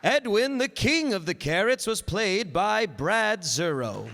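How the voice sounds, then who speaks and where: Guy announcer on stage, pretty high voice, echoey hall w/ some crowd sound. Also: sing-song, slow pace, a bit bright. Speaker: announcer and narrator. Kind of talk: live stage announcing to audience. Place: hall with live audience. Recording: echoey hall, audience and faint noise.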